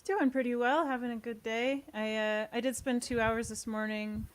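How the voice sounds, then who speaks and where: A woman's voice: on the high side, fairly even intonation, moderate pace. A woman chatting casually as a guest in an interview. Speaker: interview guest, audio series co-creator. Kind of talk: casual chat.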